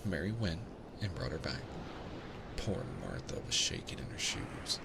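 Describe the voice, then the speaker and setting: A man narrating a bedtime story in a gentle, soothing, soft-spoken ASMR style. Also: deep voice, sing-song, measured pace, creaky, very noisy. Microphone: binaural microphone. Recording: constant loud ocean-wave ambience behind voice. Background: ocean waves.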